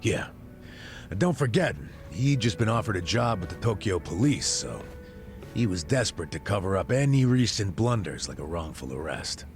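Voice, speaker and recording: An American man acting a gruff, regretful, middle-aged ex-cop in a video game cutscene, blunt and streetwise with a gravelly voice. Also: low-pitched, sing-song, normal speed, faint music behind. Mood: grave, confiding.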